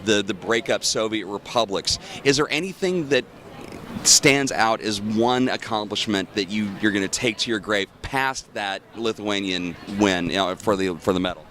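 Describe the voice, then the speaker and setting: Low voice interviewer guy, quick questions, faint street noise. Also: a bit bright. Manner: brisk, leading questions. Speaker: red carpet interviewer. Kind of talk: festival red carpet interview. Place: outdoor red carpet by a street.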